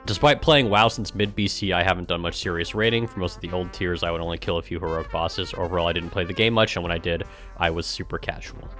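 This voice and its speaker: Low-voiced sarcastic man on a stream. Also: fairly even intonation, fast talker, a bit bright, faint music behind. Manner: sarcastic, exasperated, casual with swearing. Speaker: streamer and gaming guild member. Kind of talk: live stream reading aloud with sarcastic commentary.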